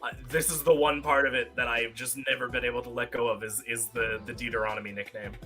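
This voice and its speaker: Male panelist, mid-pitched, wry and self-deprecating, casual joking chat with music clearly behind. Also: steady tone, fast talker. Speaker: live stream panelist.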